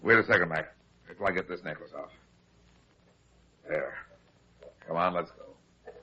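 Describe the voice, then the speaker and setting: A man playing a heavyset, shrewd private detective in an old-time radio drama, brisk and commanding as he explains things. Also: sits low, husky. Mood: cool, satisfied. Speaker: lead actor. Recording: dull, lo-fi old radio recording.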